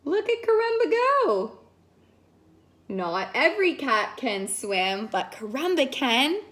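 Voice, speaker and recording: A woman teacher reading a picture book aloud in an expressive, sing-song way, stretching words and adding asides to young listeners. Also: sits high, slow pace. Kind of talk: animated children's story read-aloud with asides to listeners.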